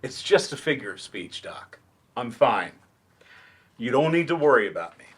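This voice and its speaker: Man playing a dejected writer, medium-pitched, sardonic, dry, wordy, stage play reading. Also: very animated, normal speed, fairly bright tone, breathy edge. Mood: defensive. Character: bitter, sardonic, dejected male writer turned teacher. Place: library event room, staged reading.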